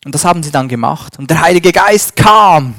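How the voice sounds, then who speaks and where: A man preaching a sermon and reading scripture, slow and emphatic, swinging between loud stresses and a dropped voice. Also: fairly high pitch, expressive, no room sound, clean studio sound.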